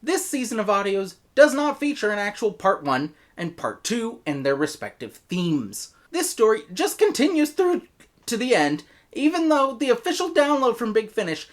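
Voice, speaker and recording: An American man delivering a scripted review in an emphatic, opinionated way. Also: high voice. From United States. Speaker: reviewer, host.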